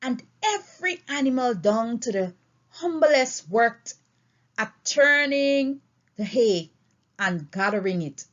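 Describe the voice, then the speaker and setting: Slow, very animated woman reading aloud. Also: fairly high pitch. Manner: emphatic, deliberate phrasing with dramatic swings. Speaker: audiobook reader. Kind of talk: expressive storybook read-aloud. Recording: dull, lo-fi recording.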